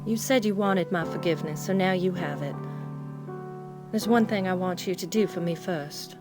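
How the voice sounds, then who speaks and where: A woman's voice: fairly low pitch, moderate pace, over music, natural voice. A woman playing an earnest, guilt-ridden grown daughter and troubled wife in an audio drama, speaking emotionally. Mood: anxious.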